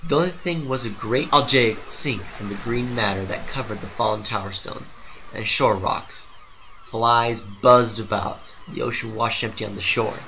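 A man narrating a literary story dramatically, with theatrical, deliberate phrasing. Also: fairly low pitch, gravelly, bright, forceful tone, slight background noise, rough recording.

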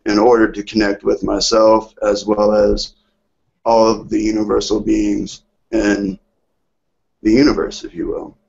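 An earnest man speaks slowly and reverently with a gravelly edge. His pitch stays low and flat. The sound is dull and lo-fi, as if heard over an online call. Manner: hesitant, earnest, drawn-out phrasing. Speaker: caller. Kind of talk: slow, reverent spiritual talk.

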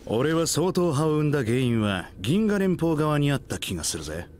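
An actor playing a brash, outspoken starship captain who talks bluntly and casually in a game cutscene. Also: male voice, fairly high pitch, big pitch swings, normal speed, darkish tone, a little hiss or hum. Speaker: ship captain.

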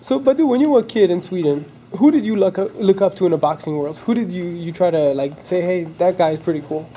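High, fast-talking male reporter. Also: dark tone, slight background noise, poor audio. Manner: upbeat, rapid questions. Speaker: sports reporter. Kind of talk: casual backstage interview. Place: hotel corridors and event hall indoors.

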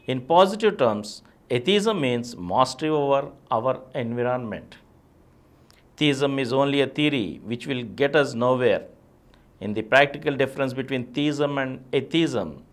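Middle-aged male presenter, Indian accent, medium pitch. Declamatory, measured reading of a formal text. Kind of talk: scripted reading of a philosophy essay. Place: small video studio, indoors. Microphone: lapel mic.

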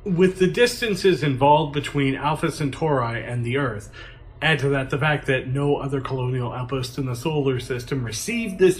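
A man's voice: a little high, slight background noise, close to an everyday voice. A man playing an earnest space agency chief in an audio drama, giving a formal, precise briefing. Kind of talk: science fiction audio drama acting.

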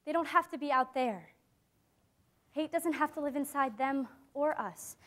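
An earnest teenage girl in a stage drama, played by a young woman. Her voice is high and youthful, and she phrases her lines deliberately, holding on to hope as someone who has lived in hiding. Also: fairly bright tone. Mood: hopeful, insistent. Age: young adult. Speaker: actor in a staged reading.

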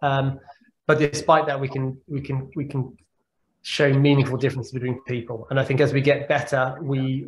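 Man, forties, flat even delivery, video call, echoey room. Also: medium pitch. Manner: thoughtful, repeats words while thinking. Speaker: guest speaker, neurologist. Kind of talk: answering audience questions after a talk.

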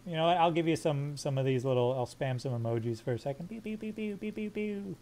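A man with a slightly high, fairly monotone voice talks through a live game stream. He jokes crudely with chat while fiddling with his squad's gear. Faint game ambience hums underneath. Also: quick pace, a little hiss or hum. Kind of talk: live gameplay commentary.

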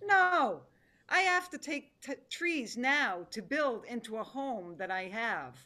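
Woman acting a defiant, high-voiced mother. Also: expressive, slow, bright, forceful tone, full character voice. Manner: emphatic, challenging. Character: defiant village mother protecting her own needs. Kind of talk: dramatic play reading.

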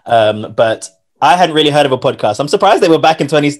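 Male podcast host, London accent, rapid casual delivery, informal and self-deprecating. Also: fairly high pitch, lively intonation. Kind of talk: casual chat.

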